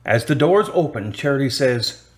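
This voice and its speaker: A man's voice: mid-range voice, expressive, moderate pace. A man reading scripted narration for a radio drama as its narrator. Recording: music under the narration. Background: music.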